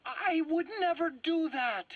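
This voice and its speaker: A man's voice: very high-pitched, a little slow, no echo. A man acting a nervous, defensive scientist under interrogation in a game drama, stammering and pleading as he protests. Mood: anxious, insistent. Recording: dull, muffled game cassette audio.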